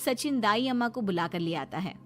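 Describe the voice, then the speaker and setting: A woman's voice: medium pitch, lively intonation, normal speed, fairly bright tone, soft background music. A woman narrator telling a scripted moral story in a dramatic storytelling style.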